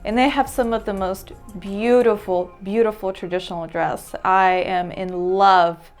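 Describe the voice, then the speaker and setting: A woman's voice: medium pitch, fairly even intonation, measured pace, faint music behind. A woman from the United States hosting her vlog, talking to camera in a chatty, upbeat way and repeating words for emphasis. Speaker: vlog host and English teacher. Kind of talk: casual vlog talk to camera.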